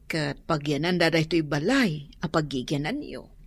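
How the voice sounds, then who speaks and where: A woman playing a kindly, concerned middle-aged mother and landlady in a radio drama, with a Filipino Ilocano accent and a husky voice. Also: low voice, lively intonation, moderate pace. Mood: apologetic, concerned.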